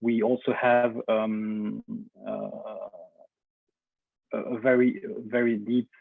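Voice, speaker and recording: A low-voiced man with a Belgian French accent presents over a video call, hesitant and full of fillers. His delivery stays flat and even, and the audio is thin and phone-like in a dry, close sound. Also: slow, creaky, no room sound. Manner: hesitant, many fillers and restarts. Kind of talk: webinar presentation.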